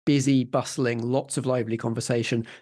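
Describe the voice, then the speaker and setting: A man's voice: mid-range voice, little pitch movement, a little fast, a little mellow. Male voice, game master, tabletop roleplay narration, scene-setting and prompts.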